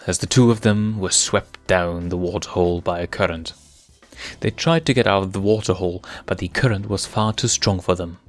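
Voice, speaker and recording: A man narrating, reading a written animal story aloud in a dramatic storytelling style. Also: low-pitched.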